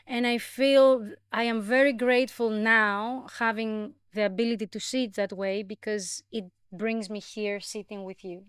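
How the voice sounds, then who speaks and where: A woman from Greece with a Greek accent, speaking reflectively as an interview guest, in a contemplative, gently halting way. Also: mid-range voice, fairly even intonation, clean tone, dry recording, clean studio sound. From Greece, grew up in Athens. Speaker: podcast guest.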